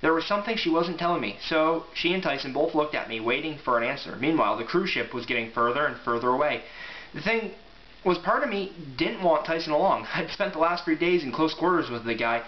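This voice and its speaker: A man talking casually and reading aloud in an informal, offhand way. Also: mid-range voice. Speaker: amateur book reviewer and reader. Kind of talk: casual rambling review and book read-aloud. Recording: echoey room, muffled, faint hiss.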